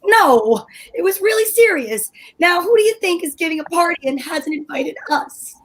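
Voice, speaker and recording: A woman's voice: very high-pitched, animated, normal speed, pitched above the performer's own voice. A woman reading the part of a huffy, exasperated wife in an amateur old-time radio play. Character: wife Liz, fussy dramatic housewife.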